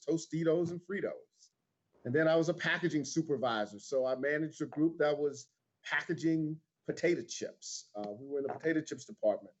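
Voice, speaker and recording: A middle-aged man from Houston with an American accent, answering interview questions in an informal, candid way. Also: a little high. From Houston, Texas, United States. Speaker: interview guest, insurance agent. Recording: dull lo-fi livestream audio.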